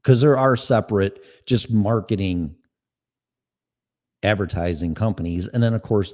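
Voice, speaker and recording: A man's voice: deep voice, dark tone. A man with a Chicago accent hosting a solo podcast, ranting in a blunt, sarcastic and profane way. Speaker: podcast host.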